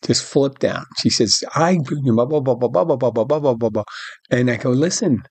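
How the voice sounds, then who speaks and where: A man's voice: medium pitch, normal speed, husky, no echo. A middle-aged man hosting a podcast, telling a rambling story about his bartending shift and acting out the dialogue. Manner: rambling, animated retelling with quoted dialogue and restarts. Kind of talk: casual storytelling monologue.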